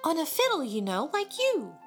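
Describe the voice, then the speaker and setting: A woman voicing a tearful, excitable little girl in a storybook reading. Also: normal speed, faint music behind, heavily acted. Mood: eager. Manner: high, tearful and breathless. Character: sobbing little red-headed girl.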